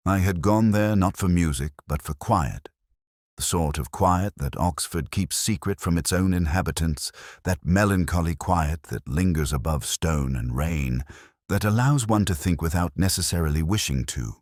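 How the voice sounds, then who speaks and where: A man voicing a weary, melancholy older English detective, narrating a literary mystery in a measured, wry, brooding way. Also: sits low, a little mellow, no echo, studio quality.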